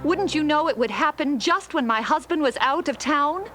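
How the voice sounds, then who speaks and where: A woman's voice: sits high, animated, normal speed. A woman playing a worried, polite ski lodge manager in a children's read-along story. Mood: distressed, pleading. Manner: fretful, pleading. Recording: faint music on old storybook cassette.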